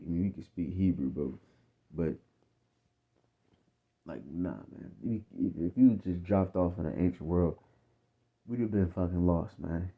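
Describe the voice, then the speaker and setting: An American man giving informal, off-the-cuff religious teaching in blunt, profane street talk, repeating himself and often checking that listeners follow. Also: low-pitched. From United States. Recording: muffled, narrow phone-like sound.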